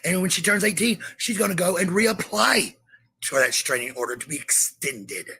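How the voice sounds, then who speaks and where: Bright-voiced man on an angry rant. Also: high-pitched, animated, normal speed, breathy edge. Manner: combative, heavy swearing, repeats phrases for emphasis. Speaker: parent hosting a livestream.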